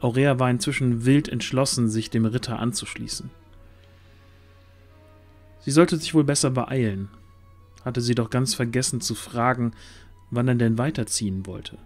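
Male storyteller over soft music. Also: mid-range voice, steady tone, moderate pace, pauses often. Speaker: narrator. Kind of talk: scripted storytelling narration.

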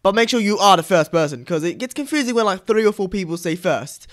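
A young man giving excitable gameplay commentary as the host, exclaiming and often repeating his words. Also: sits high, fast. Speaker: gaming video host. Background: game music and sounds.

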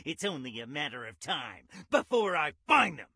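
A man voicing a sinister, gleeful ice cream man villain in a video game, with a raspy voice and a theatrical, menacing, taunting manner. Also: sing-song, moderate pace, sharp tone, dry recording, studio quality, heavily acted. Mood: sinister scheming. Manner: theatrical, menacing, sing-song taunting with swooping pitch.

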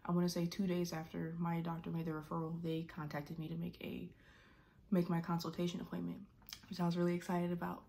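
Twenty-something woman vlogging from her bedroom, lowish voice, lots of fry, kinda rambly with restarts. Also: completely flat delivery, brisk, mellow, a little hiss or hum. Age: 20s. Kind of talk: casual personal vlog. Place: bedroom, indoors. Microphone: camera at desk distance.

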